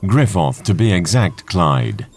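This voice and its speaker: Man as a deep-voiced, polite supercomputer. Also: sing-song, slow pace, full character voice. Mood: sarcastic. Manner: formal, matter-of-fact, teasing. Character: polite, dryly sarcastic supercomputer. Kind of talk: audio drama acting.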